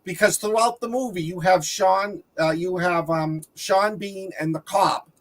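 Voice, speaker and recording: A man with a notably high voice talks in a casual, explanatory way. He sounds like one of a panel chatting about movies. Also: a little slow. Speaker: podcast panelist discussing films.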